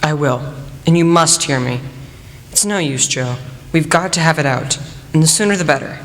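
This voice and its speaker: A young man portrays an earnest, devoted suitor in a radio play. His voice sits slightly high for a man, sincere and a bit hesitant, with the long reverb of a hall around it. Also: moderate pace, fairly bright tone, slight background noise, lightly acted. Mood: nervous, determined.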